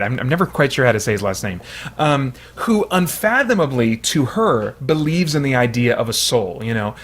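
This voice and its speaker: A man with a medium-pitched voice hosts an analytical video essay. He explains his points informally and hedges often as he goes. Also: a bit bright. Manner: explanatory, hedging with frequent "you know".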